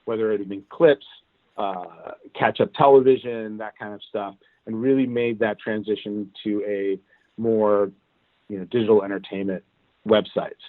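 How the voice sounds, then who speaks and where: A man from Cuba, a guest in an interview, telling how his career went in an animated, casual way. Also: fairly low pitch, normal speed, dry recording. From Cuban. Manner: animated, recounts events at length. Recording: tinny phone-like line, dry.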